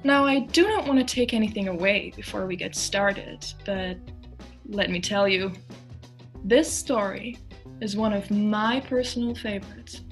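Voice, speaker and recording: A woman's voice: mid-range voice, a little slow. A woman announcer giving a scripted, theatrical introduction to a radio play. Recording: music behind the voice.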